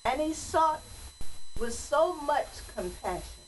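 Older woman, slow and deliberate, slightly distant. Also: sits high, expressive. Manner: deliberate, phrase-by-phrase delivery. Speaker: church mother, guest reader. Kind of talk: devotional poem recitation. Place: small wood-paneled indoor room. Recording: distant pickup with faint noise.

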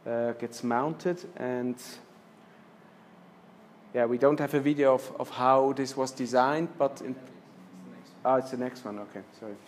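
A man in his fifties presenting slides in a lecture room, speaking with many restarts and fillers, trailing off softly at the ends of phrases. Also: medium pitch, steady tone, measured pace, pauses often. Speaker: architecture lecturer. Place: lecture room with projector screen.

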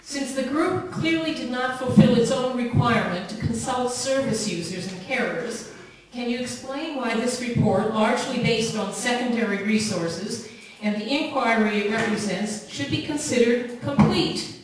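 Woman w slightly high voice asking pointed questions, distant mic in a big echoey room. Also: a little hiss or hum. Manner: pointed, challenging, deliberate. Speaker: member of public asking questions. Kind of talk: prepared formal questions. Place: large wood-panelled council meeting room. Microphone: desk gooseneck mic.

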